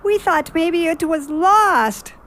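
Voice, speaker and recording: A woman's voice: extremely high pitch, expressive, slow pace, fairly bright tone, exaggerated voice. A woman voicing a small, earnest, childlike young boy squirrel in a children's radio drama. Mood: sorry, earnest.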